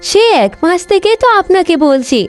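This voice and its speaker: A woman voicing a proud young bride, the elder sister in a cartoon, with a dramatic, expressive delivery. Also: very high-pitched, moderate pace, faint music behind. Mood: anxious, pleading. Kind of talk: Bengali cartoon voice acting.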